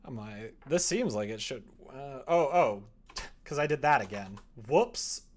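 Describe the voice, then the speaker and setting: A man's voice: mid-range voice, expressive, a little slow. A young man chatting casually on a livestream, thinking aloud as he works, informal and self-deprecating. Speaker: livestreamer coding a game. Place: indoors, small room at a computer.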